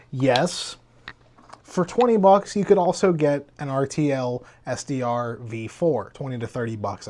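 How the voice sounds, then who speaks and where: A man's voice: fairly high pitch, a little mellow. A man giving a casual hands-on gadget review in a conversational, explanatory way. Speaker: tech reviewer.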